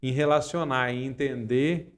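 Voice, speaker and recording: A man's voice: medium pitch, fairly even intonation, measured pace. A man interviewing a guest, mostly offering short affirming interjections. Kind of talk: conversational interview.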